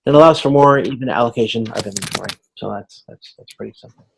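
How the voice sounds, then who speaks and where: A man working as an instructor explains material in an informal online lecture, often pausing and repeating words as he goes. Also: medium pitch, creaky, noisy background. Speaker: university instructor.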